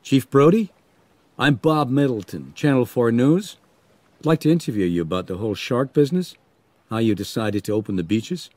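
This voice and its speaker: Man playing a brisk young TV reporter. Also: mid-range voice, expressive, darkish tone, no echo, pitched above the performer's own voice, natural voice. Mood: eager, brisk. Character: brisk, eager young male television reporter.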